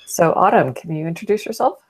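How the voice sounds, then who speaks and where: A woman's voice: lowish voice, animated, brisk, darkish tone, breathy edge. A woman in her forties informally hosting a live group video call. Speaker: host of an online panel. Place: small indoor room with bookshelves, on a video call. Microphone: webcam video call.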